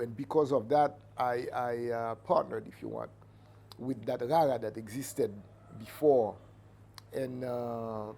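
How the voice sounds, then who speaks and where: A middle-aged man with a Haitian accent giving reflective interview answers as a Vodou priest, measured and halting as he searches for words. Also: medium pitch, creaky, warm tone. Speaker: Vodou priest and cultural entrepreneur. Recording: noticeable background ambient noise.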